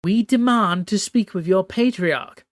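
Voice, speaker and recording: A man's voice: a little slow, dry recording, clean studio sound. A man voicing an imperious, tentacled female sea empress who speaks of herself as "we" and screeches her commands. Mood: commanding. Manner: regal royal "we", shrill and commanding.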